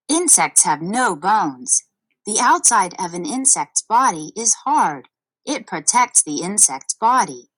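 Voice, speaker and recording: Slow, very melodic woman narrating for children. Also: medium pitch, fairly bright tone, poor audio. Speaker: narrator of an educational audio book. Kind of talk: scripted read-along narration for children.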